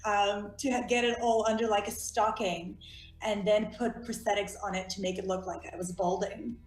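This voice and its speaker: A woman's voice: mid-range voice, fairly even intonation, moderate pace, soft background music. Bubbly South African woman with Afrikaans roots. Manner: bubbly, laughs often, many fillers. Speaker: actress guest. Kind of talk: casual interview chat. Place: home at night over a video call. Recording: echoey room.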